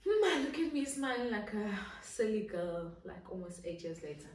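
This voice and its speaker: A woman's voice: medium pitch, very animated, normal speed, darkish tone. A woman in her twenties answering viewer questions in a chatty, giggly vlog with lots of fillers. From South Africa. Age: 20s. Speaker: vlogger. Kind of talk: casual Q and A vlog. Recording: echoey room, distant mic.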